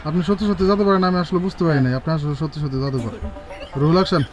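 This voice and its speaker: A man's voice: on the high side, racing, a little hiss or hum. A man directing a video shoot, calling out cues and short instructions to the actors and crew.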